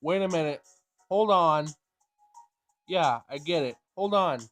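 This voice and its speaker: A man hosting a gaming video, casually thinking out loud in a tentative way as he plays and reads. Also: fairly high pitch, a little slow, faint music behind. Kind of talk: casual let's play commentary and read-aloud game text.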